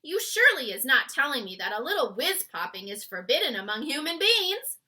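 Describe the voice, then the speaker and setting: A woman acts out a big friendly old giant from a children's storybook. He booms his muddled words with great emphasis and exuberance, stretching them out. The sound is very bright and forceful, with lively swings in pitch. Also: exaggerated voice. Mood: proud, insistent. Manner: booming, emphatic, drawn-out words.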